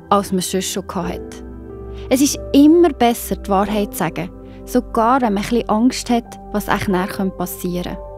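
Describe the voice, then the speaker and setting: A woman's voice: medium pitch, a little mellow. A woman with a Swiss German accent narrating a scripted children's Bible story expressively, speaking directly to young listeners.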